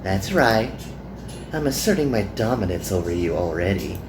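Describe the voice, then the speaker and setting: A man's voice: lowish voice, sing-song, over music. Man putting on a haughty, teasing seductress in roomy echo. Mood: smug, mocking. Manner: taunting, condescending, drawn-out. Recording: roomy echo, some background noise.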